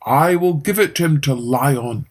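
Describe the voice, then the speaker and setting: A woman voicing a blunt, commanding male farmer in a children's fairytale, with a gruff, drawn-out delivery. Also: sits low, expressive, pitched below the performer's own voice. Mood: grateful, commanding.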